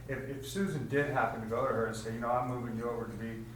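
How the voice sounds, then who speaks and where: A man's voice: medium pitch, fairly even intonation, very fast. A man at a public meeting, speaking bluntly and slipping in joking asides. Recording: very echoey room, distant mic, noisy.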